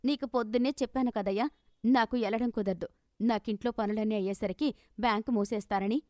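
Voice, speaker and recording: A woman narrator puts on an exasperated housewife in an animated tale. The voice is fairly high and quick. She complains in a colloquial way, recorded dry. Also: dry recording, lightly acted. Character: exasperated housewife answering back to her husband.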